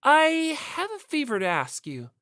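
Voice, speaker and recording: Woman voicing an earnest, higher-pitched schoolboy. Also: wildly swooping pitch, measured pace, fairly bright tone, no room sound, clean studio sound, full character voice. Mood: eager. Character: earnest, friendly, timid teenage schoolboy. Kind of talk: audiobook character voice.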